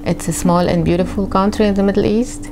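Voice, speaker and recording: A woman in her forties from Lebanon, a ceramic artist telling her story in an unhurried, reflective way with frequent fillers, recorded on a lapel mic in her home studio. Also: mid-range voice, steady tone. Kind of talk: interview storytelling. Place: home studio in a dining room. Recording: music bed behind the voice.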